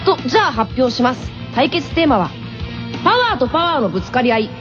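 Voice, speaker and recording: A cocky teenage boy hosting an anime radio show. The voice is high and swoops about excitedly as it announces. The audio is dull and lo-fi, with prominent music behind. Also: fast. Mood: cocky announcing. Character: cocky, cool teenage boy tennis player. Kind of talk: Japanese anime radio show hosting and mock match commentary.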